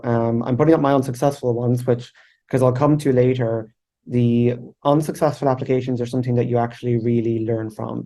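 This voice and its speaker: Irish man in his thirties, a presenter with a level, mellow delivery and loose, filler-heavy phrasing. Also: medium pitch. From Ireland. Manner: relaxed, informal, many fillers like "kind of". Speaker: researcher presenting at a webinar. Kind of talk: conference presentation.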